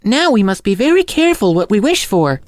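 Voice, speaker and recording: A man voicing a shrill, bossy peasant wife in a storybook fairy tale, given to scolding and exclaiming. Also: big pitch swings, brisk, no echo. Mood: urgent. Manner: shrieking, exclamatory.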